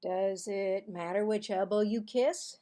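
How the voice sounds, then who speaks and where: A woman's voice: mid-range voice, steady tone, measured pace, warm tone, lightly acted. A woman voicing a defensive young schoolboy from a storybook, protesting with indignant emphasis. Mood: puzzled.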